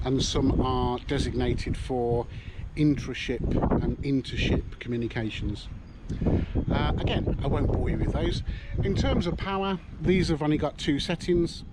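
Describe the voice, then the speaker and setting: A man casually explaining a handheld marine radio, talking in a relaxed, halting way with many ums. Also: mid-range voice. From United Kingdom. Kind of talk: casual product demonstration. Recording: loud background noise.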